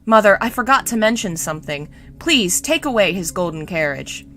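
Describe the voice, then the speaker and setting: Young crown prince, woman reader, novel narration: earnest, exasperated, firm scolding, crisp bright edge. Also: mid-range voice, lively intonation, moderate pace.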